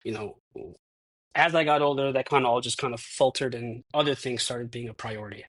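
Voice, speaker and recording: A man's voice: mid-range voice, a little fast, a bit bright. A man of thirty-one, a stuntman guest in a casual interview, talking reflectively with many restarts. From United States. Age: 30s.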